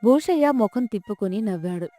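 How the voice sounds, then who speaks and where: A woman's voice: mid-range voice, animated, a little fast, warm tone, dry recording, soft background music. A woman narrating a scripted folk tale as its storyteller.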